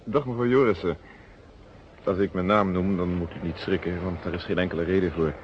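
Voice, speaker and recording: An actor playing a shrewd, self-assured police inspector in a radio play, measured, polite and casually probing. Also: male voice, deep voice, steady tone, natural voice. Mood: disarmingly polite. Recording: dull, noisy old radio recording.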